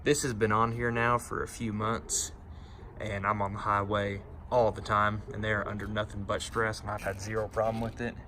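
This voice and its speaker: A man's voice: lowish voice, flat delivery, moderate pace. A man giving an unscripted, hands-on installation walkthrough, explaining and pointing things out step by step. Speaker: DIY how-to presenter. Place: inside a pickup truck cab. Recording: noisy handheld recording inside a vehicle.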